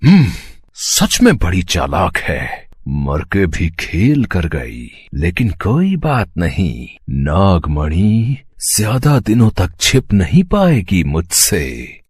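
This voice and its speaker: A man's voice: deep voice, huge pitch swings, slightly rough, dry recording, heavily acted. A man playing a menacing, gloating evil sorcerer in a dramatic audio story, drawing out his words. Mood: grudging, scheming. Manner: slow, drawn-out, gloating delivery.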